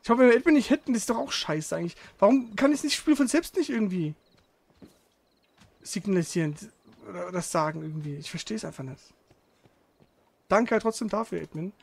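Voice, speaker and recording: A man with a high, creaky voice comments casually on a video game as the host. He is blunt and grumbling, swearing freely as he talks. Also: expressive, a little fast, long pauses. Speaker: let's play host.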